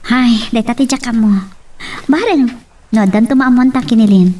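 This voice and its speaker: Woman acting a high-voiced friendly restaurant hostess, Ilocano. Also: normal speed, warm tone, far from the mic, natural voice. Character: friendly young woman hosting at her new restaurant. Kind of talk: Filipino radio-style romance drama acting.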